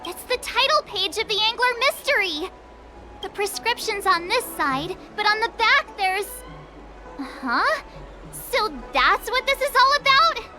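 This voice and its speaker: A woman playing an excitable, curious young girl in a video game, with an expressive delivery. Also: very high-pitched, a little slow, music in the background, exaggerated voice. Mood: excited. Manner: expressive, animated.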